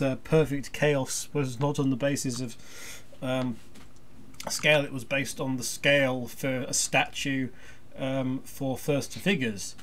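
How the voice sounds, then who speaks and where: Halting podcast host with noisy background. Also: medium pitch. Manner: halting, rambling, often stumbles and restarts. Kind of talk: casual chat.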